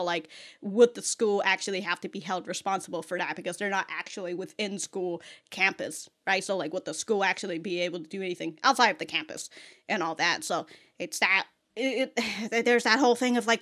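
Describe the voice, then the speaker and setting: A woman's voice: mid-range voice, fairly bright tone. An American woman hosting a reaction video with casual, unscripted commentary in an informal, sweary, emphatic way. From United States.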